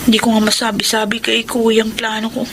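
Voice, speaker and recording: An actress plays a frightened, regretful young Filipina in a radio drama. Her voice is very high and fretful, with clear noise behind it. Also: monotone, fairly quick, close to an everyday voice. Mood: troubled. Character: troubled young pregnant woman, fearful, regretful younger sister.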